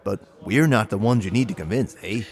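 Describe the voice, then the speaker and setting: A man's voice: on the low side, a little fast, lightly acted. A man playing a folksy, easygoing film crewman with a Canadian accent in a comedy audio drama. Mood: folksy, agreeable.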